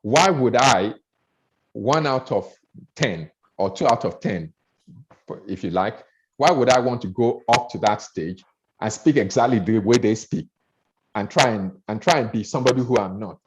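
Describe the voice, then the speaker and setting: A man's voice: mid-range voice, normal speed, noisy background. A man with a Nigerian accent coaching on public speaking, earnest, often repeating words as he builds his points. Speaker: speaker trainer. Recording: dull lo-fi audio.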